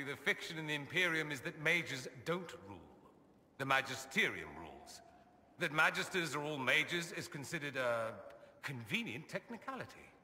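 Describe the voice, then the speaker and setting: Game companion: a sardonic, self-amused mage noble, crisp bright voice, lively pitch, reverb tail. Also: male voice. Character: witty, vain male mage nobleman, wry and worldly. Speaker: companion character. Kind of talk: video game dialogue.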